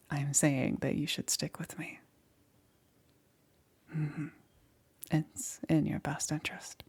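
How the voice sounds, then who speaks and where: A woman plays a soft-spoken witch in an ASMR roleplay, coaxing and soothing. Her low voice is very breathy and often drops to a whisper, with a creaky edge. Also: flat delivery, exaggerated voice. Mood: coaxing, knowing. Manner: slow, hushed, soothing and gently persuasive. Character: soft, coaxing witch, a caring self-confessed monster.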